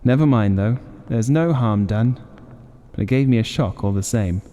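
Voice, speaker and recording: A man acts out a gentle old husband and father for an audiobook. He is curious and credulous, earnest yet a little hesitant. A mellow voice carries through long, hall-like reverb. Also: medium pitch, moderate pace, lightly acted. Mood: resigned. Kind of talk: audiobook character voice.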